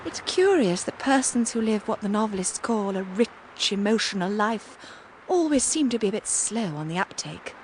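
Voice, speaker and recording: An actress playing a practical, well-bred young lady in a radio drama, with an upper-class southern English accent and a crisp, condescending manner. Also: mid-range voice, very animated, moderate pace, noisy background, close to an everyday voice. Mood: wry. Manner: crisp, prim, politely condescending.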